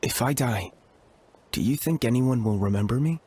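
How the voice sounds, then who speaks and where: A man's voice: mid-range voice, moderate pace, darkish tone, slight background noise, lightly acted. An actor playing an earnest young male adventurer in a video game, anxious but resolute. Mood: anxious, sincere.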